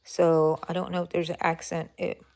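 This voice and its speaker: A woman's voice: on the low side, level tone, normal speed, creaky, darkish tone. Chatty middle-aged woman with a Minnesota accent. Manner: rambling, effusive, reads out chat names. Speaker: crochet livestream host. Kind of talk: chatty live stream talk.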